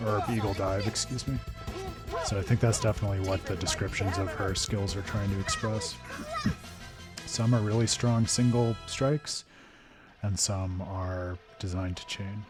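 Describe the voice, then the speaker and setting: A hoarse man hosting a live game stream, talking through his thoughts in a thoughtful, digressive way with frequent restarts. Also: fairly low pitch, fairly even intonation, unhurried, music in the background. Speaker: streamer and host.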